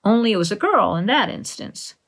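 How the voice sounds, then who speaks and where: A woman's voice: mid-range voice, very animated, brisk, a bit bright. A woman voicing a blunt, alarmist older village busybody with an emphatic, scolding delivery in a storybook reading. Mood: warning.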